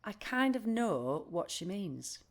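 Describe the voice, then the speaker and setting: A woman's voice: medium pitch, very animated, slow pace. An actress playing a blunt, restless, literal-minded woman in audio play dialogue. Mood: matter-of-fact.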